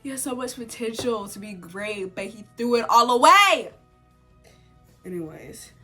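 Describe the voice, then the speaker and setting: A young woman vlogger with a congested cold, chatting at home in a sit-down video and giving opinionated takes full of "like" and sudden animated outbursts. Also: a little high, a little slow, faint music behind. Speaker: vlogger reviewing a TV series. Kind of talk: casual sit-down chat to camera.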